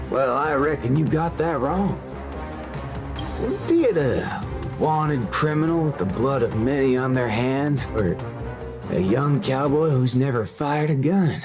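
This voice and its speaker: An actor playing a folksy, cocky young cowboy gunslinger in a video game, with a Southern US drawl. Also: male voice, medium pitch, close to an everyday voice. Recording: game music under the voice.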